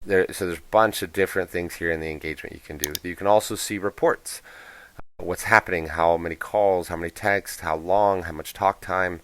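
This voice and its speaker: A man presenting a casual software walkthrough in a plain-spoken, explanatory, off-the-cuff way. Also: low voice, clean tone.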